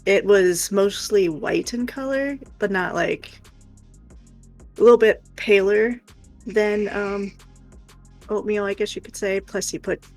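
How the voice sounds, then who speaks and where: A woman co-hosting a livestream in casual chat, rambling and hesitant as she thinks aloud, with a creaky voice. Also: mid-range voice, slow pace, pauses often, faint music behind. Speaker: co-host of an art livestream.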